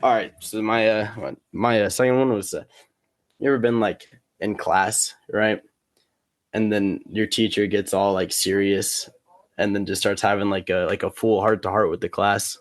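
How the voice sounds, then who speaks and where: A man's voice: on the low side, steady tone, normal speed, no room sound. A man in casual, joking conversation, talking in an informal, rambling way.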